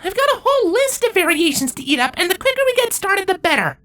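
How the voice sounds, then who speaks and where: Casting: woman playing cartoon female creature, extremely high, animated delivery, music beneath. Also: fast talker, exaggerated voice. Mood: eager. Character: several female cartoon ponies and changelings. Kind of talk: cartoon character acting. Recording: music behind the voice.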